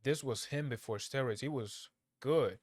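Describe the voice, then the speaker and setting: A man from Angola who grew up in Portugal, a native Portuguese speaker, giving casual, unscripted commentary in a blunt, slangy, halting way, often repeating his phrases. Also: medium pitch, no room sound, clean studio sound. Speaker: reaction video creator.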